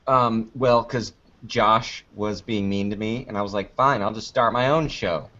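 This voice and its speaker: A man's voice: on the low side, unhurried, a bit bright. A man giving casual interview answers in a joking, anecdotal way, acting out little exchanges as he goes. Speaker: podcast producer and video creator being interviewed. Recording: dull lo-fi audio.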